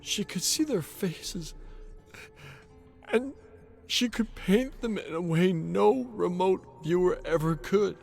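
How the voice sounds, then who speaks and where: A man voicing a dying, drugged secret agent who confesses in despair, agitated and halting, in a dramatic audio story. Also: high-pitched, expressive, creaky, darkish tone, faint music behind, voice pushed up, full character voice. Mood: desperate confession. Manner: agitated, halting, breaking into anguished strain.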